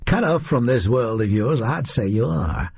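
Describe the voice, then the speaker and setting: Brash schemer, performed by a male reader, breezy storybook villain, gravelly, slightly muffled recording. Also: medium pitch, expressive, normal speed, a little mellow. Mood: gleeful. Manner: breezy, slangy, chatty. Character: brash American man from fiction, gleeful cocky schemer.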